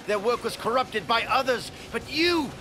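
An actor playing an earnest captive scientist in a video game, urgent, grave and pleading. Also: male voice, extremely high pitch, animated, moderate pace, background noise. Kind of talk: dramatic video game acting.

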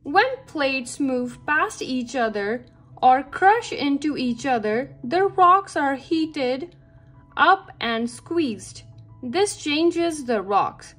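A woman reads aloud for young children in a fairly high voice, with music playing behind her. Her pitch moves up and down in a lively way as she phrases each part carefully and expressively. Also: extremely slow. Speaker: read-aloud narrator for children. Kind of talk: children's picture book read-aloud. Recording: background music under the voice.

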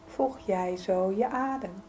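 Slow-paced woman guiding a meditation. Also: mid-range voice, a little hiss or hum. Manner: soothing, gentle, unhurried with pauses. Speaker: yoga nidra meditation guide. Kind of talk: guided meditation, calm spoken instruction.

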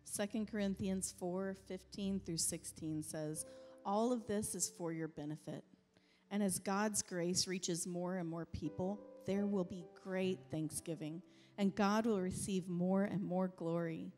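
A middle-aged woman on a church stage with a handheld mic, reading scripture in a calm, measured way during a sermon. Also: medium pitch. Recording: long reverb of a church hall, faint music.

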